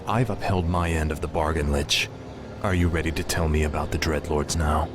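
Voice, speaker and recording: An actor playing a cold, arrogant fallen prince turned undead death knight, menacing and formal, in a fantasy game cutscene. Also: male voice, very deep voice, fairly even intonation, normal speed, music in the background. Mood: impatient.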